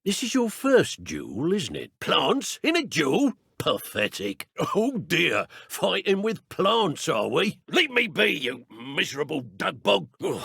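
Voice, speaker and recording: A menacing British dark wizard poacher from a video game, taunting and sneering with theatrical flair. His pitch swings widely, with some gravel and a husky edge. The speech is colloquial and full of threat. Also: dry recording, clean studio sound, full character voice. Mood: taunting, scornful. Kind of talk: video game character voice acting.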